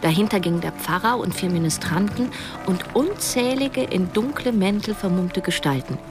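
An actress playing a reflective, wistful narrator who tells her story in measured, literary radio drama style. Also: mid-range voice, animated, over music, close to an everyday voice. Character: reflective woman narrator recalling events, wistful.

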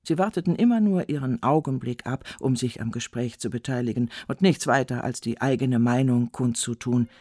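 A man's voice: mid-range voice, moderate pace, few pauses, a little mellow, dry recording, studio quality, lightly acted. A man voicing a scornful, wronged wife in a theatrical, sardonic first-person literary reading. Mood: sardonic, bitter. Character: scornful, wronged wife recounting her humiliations. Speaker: actor reading a literary text.

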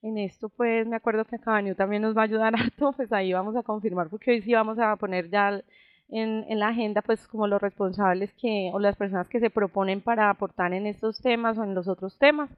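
A woman running an online group meeting, speaking in a relaxed, reassuring way with many fillers. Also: mid-range voice, brisk, clean tone, no room sound. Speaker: meeting organizer. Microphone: computer mic on a voice call. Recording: dull lo-fi voice call audio.

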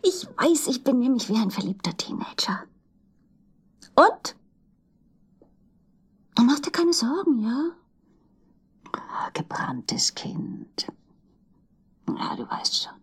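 An actress in a radio drama playing an infatuated woman in her forties, breathy and whispery, tender and confiding. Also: high-pitched, sing-song, slow, long pauses, full character voice. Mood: smitten, tender. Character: infatuated woman of forty-four, tender and girlishly giddy. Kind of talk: intimate radio drama acting.

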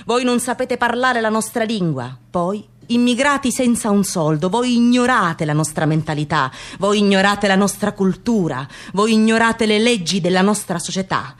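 An actress voicing a hateful, indignant xenophobic mob in radio theatre, hammering out repetitive insults. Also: female voice, medium pitch, normal speed, few pauses, lightly acted. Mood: contemptuous.

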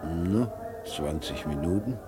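A middle-aged married man in an old radio play with noticeable noise. His voice is fairly low and moves expressively. He is measured and protective, soothing but resigned. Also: expressive. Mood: subdued. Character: calm, devout, protective husband and worried father. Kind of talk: German radio drama acting.

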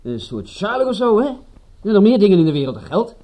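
An actor playing a detective's apologetic, concerned friend in a radio drama, earnest and slightly flustered. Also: male voice, sits high, big pitch swings, fast talker, dark tone. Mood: apologetic, hurt. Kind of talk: radio drama acting in Dutch. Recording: mildly noisy radio play recording.

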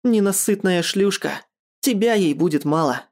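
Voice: mid-range voice, animated, normal speed, a little mellow, pitched above the performer's own voice, lightly acted. A performer voicing a bitter jilted man in an audiobook story, demanding and scornful, growling his insults. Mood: sneering. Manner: growling, hissing, sneering.

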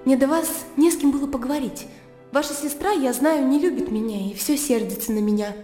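An actress playing a naive, blunt young country gentlewoman in a Russian radio drama, opinionated and emotional. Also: sits high, brisk, close to an everyday voice. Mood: chatty, indignant. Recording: roomy long reverb with music behind.

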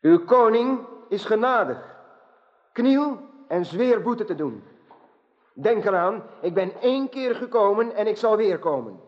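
An actor playing a bold, chivalrous knight in a radio drama, speaking in a formal, declamatory way. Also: male voice, high voice, expressive, unhurried. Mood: resolute. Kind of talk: theatrical radio drama acting. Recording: muffled radio play recording.

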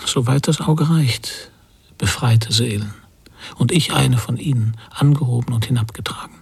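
An actor playing a brooding emigrant father who recalls a stormy sea voyage in a reflective, measured radio play monologue. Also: medium pitch, vocal fry, slightly rough. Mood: awed reverie.

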